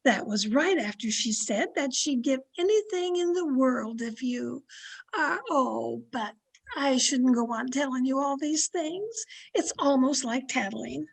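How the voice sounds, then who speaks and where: Woman acting a scheming elderly aunt, raised husky voice, sweetly confiding, coy, old-time radio comedy. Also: high voice, expressive, a little slow, heavily acted. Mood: flattering, confiding. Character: sly, flattering old aunt playing matchmaker. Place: home, online video-call production.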